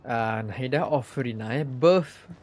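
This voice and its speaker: A man's voice: mid-range voice, moderate pace. A man with a Malaysian accent giving casual livestream game commentary, switching between Malay and English. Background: game music.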